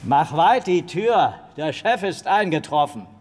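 Sardonic male detective, lively and reverberant. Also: on the high side, measured pace, soft background music. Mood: dry sarcasm. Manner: dry, mocking, clipped. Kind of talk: radio crime drama acting.

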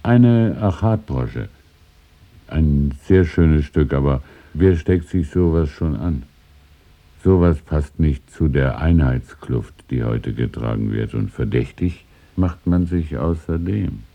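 An actor playing a courteous, wistful old antique shopkeeper in a radio drama, speaking haltingly and confidingly. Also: male voice, rumbling low, animated, warm tone, lo-fi sound, exaggerated voice. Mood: wistful, polite. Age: older. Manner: halting, polite, confiding, chuckling old-man speech. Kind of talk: 1970s German radio drama acting.

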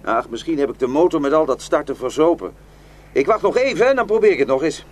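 An actor in a radio drama playing a polite, matter-of-fact traveller stranded on the road. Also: male voice, fairly high pitch, expressive, quick pace. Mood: polite, friendly.

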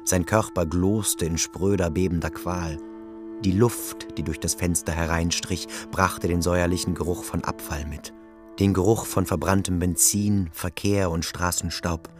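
An actor playing the detached narrator of a literary radio play. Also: male voice, deep voice, fairly even intonation, measured pace. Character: detached male storyteller of a city novel. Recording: music behind the voice.

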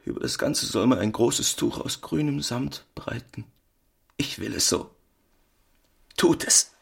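An actor playing a grief-stricken, stubborn widower in a German radio drama, his voice husky and creaky. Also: medium pitch, unhurried, fairly bright tone, exaggerated voice. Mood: defiant anguish.

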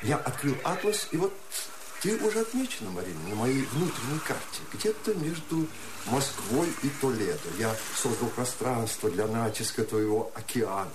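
An actor playing an enraptured, melancholy poet in a radio play, declaiming love letters solemnly and tenderly. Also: male voice, mid-range voice, noisy background, lightly acted. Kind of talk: poetic radio play monologue.

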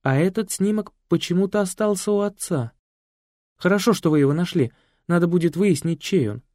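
A man's voice: high-pitched, a little fast, no echo, clean studio sound, pitched above the performer's own voice. A man reading an audiobook, voicing a chatty, kindly village woman, the daughter of a priest. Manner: talkative and animated. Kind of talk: audiobook character voice.

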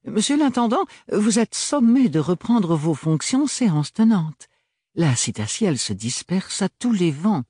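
A man's voice: high voice, big pitch swings, a little slow, dark tone, husky, dry recording, studio quality, pitched above the performer's own voice, full character voice. A man voicing a smug, ironic male ambassador who talks in an airy, mocking, sugary way. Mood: jovial.

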